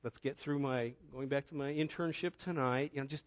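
A man's voice: medium pitch, fairly even intonation, fairly quick, dull audio. A middle-aged man preaching a conversational sermon, telling a personal story in an earnest way and often repeating words as he starts a phrase.

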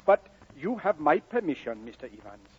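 A man's voice: fairly high pitch, big pitch swings, normal speed, slightly rough, exaggerated voice. An actor playing a proud, homely miner and father in an old radio drama, blustering, repetitive and deferential. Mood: flustered, deferential. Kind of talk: 1940s radio drama acting. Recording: muffled old radio broadcast.

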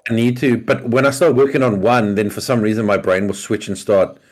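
A man co-hosts a casual podcast, his deep, booming voice sitting low. He talks in an easygoing way, chatting comfortably with his co-host. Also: fairly even intonation, fairly quick. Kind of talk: casual chat.

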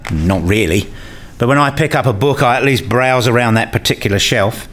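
A man's voice: mid-range voice, normal speed, fairly bright tone, slight background noise, natural voice. Dry, bookish male academic played by an actor. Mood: conversational. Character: wry male academic narrating his own puzzled days. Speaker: narrator and lead character in a radio play. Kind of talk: scripted first-person narration and dialogue.

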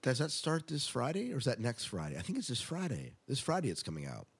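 A man's voice: mid-range voice, wildly swooping pitch, fast talker, husky. A man hosting a podcast, chatting casually and making announcements in an enthusiastic manner. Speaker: podcast host.

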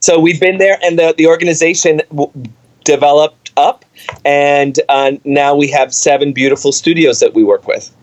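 A middle-aged man from Cuba, the artistic director of a dance company, answering interview questions in animated, storytelling fashion with many fillers. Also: fairly high pitch, moderate pace.

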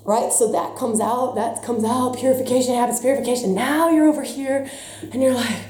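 A woman's voice: on the high side, a little fast, reverberant. A woman giving an impassioned spiritual talk, emphatic and intense by turns, with a slightly stuffy voice. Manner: passionate, emphatic, swings between soft and intense. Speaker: spiritual teacher.

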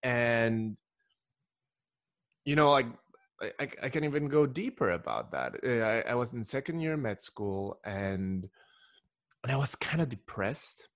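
A man's voice: mid-range voice, very animated, measured pace, long pauses, no room sound, clean studio sound. A man from Ecuador talking casually as a podcast guest, telling stories in a rambling way with repeated restarts. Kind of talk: casual conversational storytelling.

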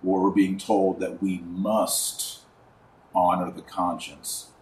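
A man's voice: sits low, flat delivery, takes it slowly, a little mellow, echoey room, far from the mic. A man hosting a religious commentary podcast, speaking emphatically and indignantly, with rhetorical questions and sarcastic asides.

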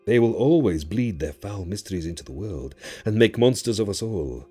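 Audiobook djinn: very low, dark-toned, proud and mocking, with grandiose delivery. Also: male voice, lively intonation, normal speed, husky, faint music behind. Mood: grave, ominous. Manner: theatrical, declamatory, taunting.

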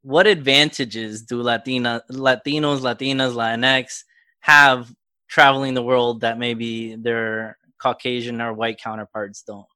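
A man from South Florida hosting a podcast, asking questions in a relaxed way and laughing easily. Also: medium pitch, a little slow, smooth, no echo. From South Florida, United States. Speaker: podcast host. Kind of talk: casual interview questions.

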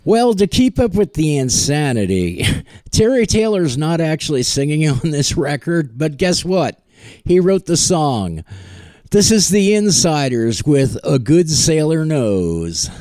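A man's voice: a little high, big pitch swings, measured pace, dark tone, dry recording. A man hosting a music podcast, introducing songs in a loose, casual way with plenty of filler "uh"s. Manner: loose and chatty, many filler "uh"s. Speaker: podcast host. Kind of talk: casual radio-style song introductions.

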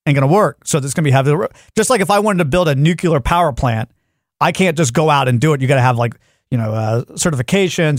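A man's voice: on the high side, no echo, clean studio sound. A man co-hosting a talk show, giving emphatic, opinionated takes in casual banter.

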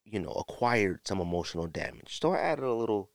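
Low-voiced American man podcasting casually. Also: big pitch swings, a little fast, creaky, dry recording, studio quality. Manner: conversational, slangy, emphatic repetition. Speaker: podcast host. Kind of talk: casual solo podcast talk.

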